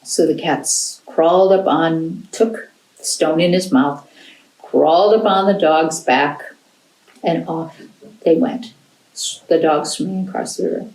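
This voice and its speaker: An American woman telling a folktale to children in an animated storyteller's style. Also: deep voice, unhurried. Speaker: library storyteller. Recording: roomy, echoing indoor sound.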